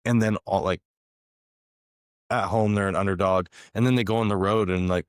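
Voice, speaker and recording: A man co-hosting a sports podcast, laid-back in casual chat, his voice slightly raspy from a cold. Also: on the low side, fairly even intonation, normal speed, no echo, clean studio sound. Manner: laid-back, reads out stats. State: coming down with a cold. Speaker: podcast co-host.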